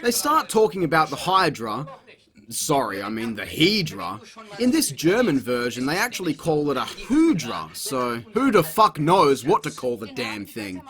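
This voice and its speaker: A man's voice: on the high side, wildly swooping pitch, unhurried, a bit bright. A man hosting a comedic video review, narrating a script with sweary, exasperated sarcasm. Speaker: host of a video review show.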